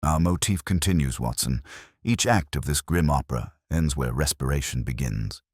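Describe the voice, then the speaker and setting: A man voicing a cool, precise, commanding Victorian detective with deliberate, theatrical pronouncements in an audiobook. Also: a bass voice, animated, husky, dry recording, studio quality, full character voice. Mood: grave, deliberate.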